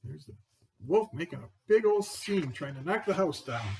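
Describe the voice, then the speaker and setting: A man reads a picture book to listeners and comments along the way. His voice sits high for a male, with a creaky, rough grain and lively swings in pitch. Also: normal speed, distant mic, noisy background. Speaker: story reader. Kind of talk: picture book read-aloud with asides.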